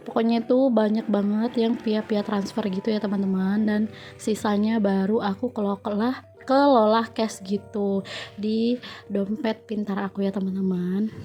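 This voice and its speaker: A young woman with a congested, stuffy nose narrates a voice-over in a level, steady tone. Her voice is soft and mellow, and she chats to her viewers with frequent fillers. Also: on the high side. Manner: chatty, addresses viewers often, many fillers. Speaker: home budgeting vlogger. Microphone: phone.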